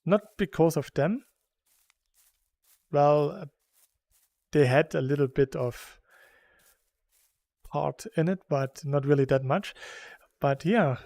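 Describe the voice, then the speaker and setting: A German man in his thirties with a German accent talks through a game in a relaxed, rambling way. His voice sits a bit high and his pace is unhurried. The sound is dry and close. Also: creaky, no echo. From Saxony, Germany. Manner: rambling and chatty, laughs between thoughts. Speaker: gaming YouTuber. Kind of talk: casual chat while playing a game.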